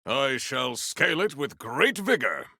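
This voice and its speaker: Proud warrior for a video game, super formal and grand, swoops up and down a lot, dry close sound. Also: male voice, medium pitch, very animated, vocal fry, sharp tone, no room sound, exaggerated voice. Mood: grand, defiant. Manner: grandiose, stiffly formal, earnest, deliberate. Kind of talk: video game character voice lines.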